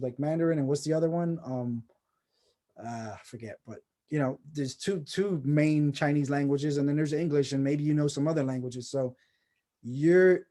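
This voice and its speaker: A man's voice: a little high, dark tone. A man hosting a casual video call session, rambling and encouraging with many restarts. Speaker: host of a personal branding course.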